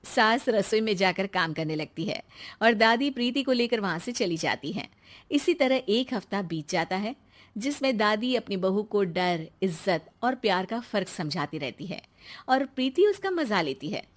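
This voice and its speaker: A woman's voice: mid-range voice, animated, moderate pace. A woman narrating a scripted moral family story.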